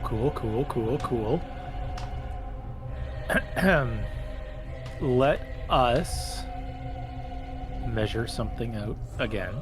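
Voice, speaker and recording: A man's voice: medium pitch, extremely slow, pauses often. A man playing a tabletop game, chatting casually and thinking aloud in short repeated words. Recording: music playing behind the voice. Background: loud background music.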